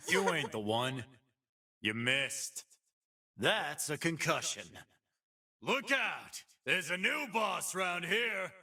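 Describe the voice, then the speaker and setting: A man's voice: fairly high pitch, sing-song, slow, sharp tone, recorded from a distance, heavily acted. An actor voicing a cocky, brutish half-human fight-pit boss for a video game, with a swaggering, taunting, slangy tough-guy drawl. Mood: boastful. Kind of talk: video game character voice lines.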